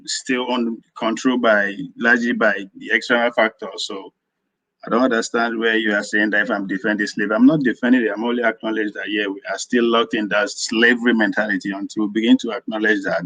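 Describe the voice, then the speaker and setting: A man's voice: lowish voice, level tone, a little fast, fairly bright tone, no room sound, dull audio. Rambling Nigerian man arguing a point. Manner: rambling, repeats himself while arguing. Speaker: caller. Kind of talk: live audio room discussion.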